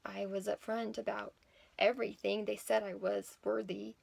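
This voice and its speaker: A woman in her thirties telling a personal story in a casual, unscripted way, halting and often correcting herself. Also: mid-range voice, level tone, creaky, slightly rough. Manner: halting, with many self-corrections. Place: small plain room indoors at home. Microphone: phone or laptop at arm's length.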